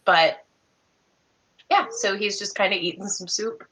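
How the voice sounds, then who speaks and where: A woman's voice: medium pitch, fairly even intonation, measured pace, a bit bright. A woman casually improvising narration as a player in a tabletop game on a video call.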